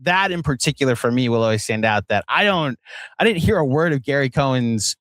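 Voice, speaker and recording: A man's voice: medium pitch, lively intonation, brisk, a bit bright, dry recording. An American man co-hosting a sports podcast, telling stories in a rambling, conversational way. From Virginia, United States. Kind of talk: casual sports chat.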